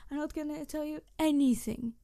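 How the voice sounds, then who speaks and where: Snarky female supervillain for ASMR roleplay woman performer, highish voice, flustered, halting, tender underneath, dry close sound. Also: dark tone, dry recording. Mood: snarky, defiant. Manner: halting, sighing, emotionally candid, sardonic. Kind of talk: ASMR audio roleplay monologue.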